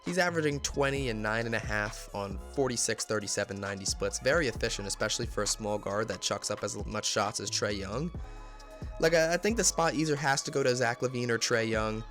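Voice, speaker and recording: A man's voice: lowish voice, a bit bright, soft background music. A young man with a congested, slightly nasal voice giving casual sports commentary, reeling off player statistics. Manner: stat-heavy, rapid listing of numbers. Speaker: basketball video creator.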